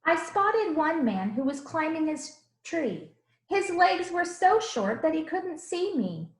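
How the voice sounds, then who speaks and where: A woman voicing a gentle, earnest Jesus who tells his own storybook tale in rhythmic rhyme. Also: very high-pitched, sing-song, slow, pitched above the performer's own voice, full character voice. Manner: rhythmic rhyming storybook delivery. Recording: roomy, echoing room sound.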